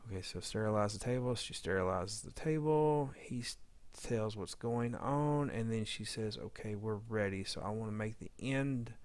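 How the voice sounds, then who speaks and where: A man's voice: on the low side, normal speed, darkish tone, no room sound. A man narrating an informal editing demo as a tutorial, thinking aloud and muttering to himself as he works. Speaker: audio drama editor giving a tutorial.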